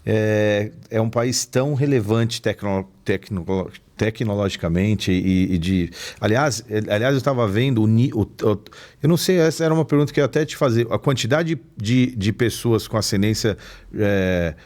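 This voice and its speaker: A man co-hosting a podcast who speaks haltingly, with frequent restarts and fillers. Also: fairly low pitch, darkish tone. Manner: hesitant, restarts often. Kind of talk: casual podcast chat.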